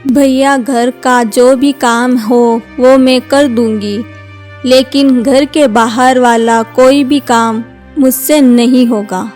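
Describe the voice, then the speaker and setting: A woman voicing a hungry demoness who poses as a helpless young woman in a cartoon story, with drawn-out delivery. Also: fairly high pitch, fairly even intonation, darkish tone, soft background music. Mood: meek, obliging.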